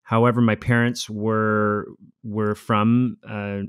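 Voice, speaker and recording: Male podcast co-host in his thirties, loose and easygoing delivery, low-pitched voice, dry close studio mic. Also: slow pace, clear, resonant tone, dry recording. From Costa Rica. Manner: relaxed, loose, with frequent fillers and "you know". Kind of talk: casual conversational podcast. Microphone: studio microphone with headphones.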